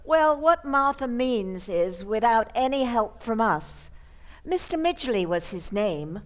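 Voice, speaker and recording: A woman's voice: on the high side, big pitch swings, slow pace. A woman playing a sweet elderly spinster aunt in an old-time radio comedy, genteel and matter-of-fact as she chides. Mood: sweetly matter-of-fact.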